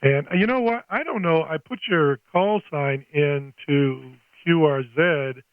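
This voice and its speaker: Older male radio hobbyist, folksy and a bit scattered, slightly high voice, dry close recording. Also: slow pace, no echo, phone-quality sound. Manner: folksy, scattered, thinks aloud. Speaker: amateur radio operator making a video. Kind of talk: casual two-way radio chat.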